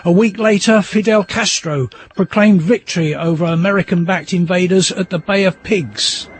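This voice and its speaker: A man's voice: sits high, moderate pace. A man reading scripted historical narration as a documentary narrator. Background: music.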